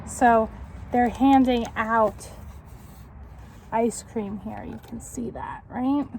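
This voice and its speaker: A woman reads a picture book aloud to children as a tutor would. Her voice is fairly high and she takes her time, stopping to instruct and question her young listeners. There is some background noise. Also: fairly even intonation, takes it slowly, vocal fry, darkish tone.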